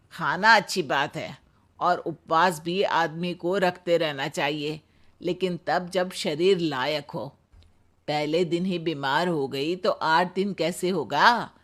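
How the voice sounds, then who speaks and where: Storybook male doctor played by a story performer: practical, slightly wry, unhurried, matter-of-fact advice. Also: medium pitch, a bit bright. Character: wry, practical family doctor, adult man. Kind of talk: comic moral story dramatization.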